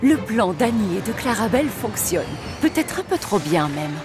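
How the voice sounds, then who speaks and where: A woman narrates a children's cartoon in French. Her mid-pitched storytelling is lively and varied, with music clearly playing beneath. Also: normal speed.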